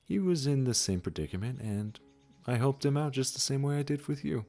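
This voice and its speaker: A man playing a gentle, wise supernatural gardener in a comforting audio roleplay, speaking soothingly and reassuringly straight to the listener. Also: medium pitch, faint music behind, close to an everyday voice. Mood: reflective, tender. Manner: soothing, unhurried, reassuring, with soft chuckles.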